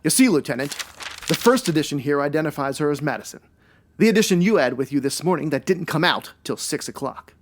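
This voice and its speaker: A man's voice: a little high, animated, fast, slight background noise, lightly acted. A man playing a wry, self-assured ex-cop private detective in a 1940s radio noir style, full of wisecracking slang. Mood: explaining, confident. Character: male ex-cop private detective, wry, hard-boiled, sure of himself.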